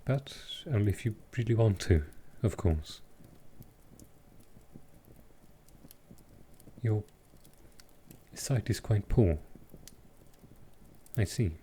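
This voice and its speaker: Man playing a low, slow, courteous vampire. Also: slight background noise, natural voice. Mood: gently teasing. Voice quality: calming. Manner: soft-spoken, unhurried, reassuring, gently hesitant. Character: courteous, gentle centuries-old male vampire, caring and softly teasing. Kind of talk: intimate roleplay audio drama.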